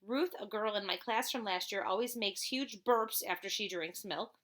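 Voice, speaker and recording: A woman's voice: mid-range voice, moderate pace, a bit bright. A woman reading a children's storybook aloud as a teacher would, expressively and with chatty asides.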